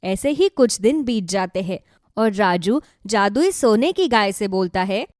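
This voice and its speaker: A woman's voice: mid-range voice, animated, unhurried, no echo, clean studio sound. A woman narrating a scripted children's moral story as a storyteller.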